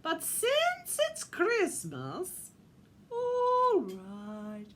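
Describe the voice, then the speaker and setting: A woman puts on Santa's wife for a storybook, a kindly matron who scolds. She exclaims in a high, swooping voice with a slightly odd accent. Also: huge pitch swings, very slow, a bit bright, heavily acted. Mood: relenting. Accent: slightly strange put-on accent. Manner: exclaiming, admonishing.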